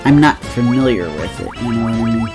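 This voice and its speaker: A man with a nasal, allergy-stuffed voice, chatting casually and slowly as co-host of a game let's play, rambling and laughing easily. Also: medium pitch, expressive, over music. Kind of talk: casual chat over gameplay.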